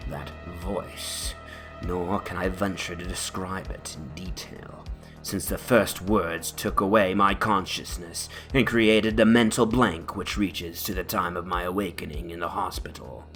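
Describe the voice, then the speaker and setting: A man's voice: sits low, a bit bright. A man playing a shaken, horror-stricken witness, narrating gothic testimony in a grave, theatrical way. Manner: theatrical, grave, swelling into anguished cries. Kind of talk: dramatic horror reading. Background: music.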